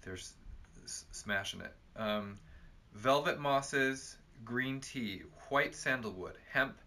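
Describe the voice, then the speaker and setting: A man's voice: mid-range voice, slow pace, bright, forceful tone. A young man co-hosting a perfume review, chatting casually with lots of fillers in an indoor room. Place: cluttered indoor room with bookshelves.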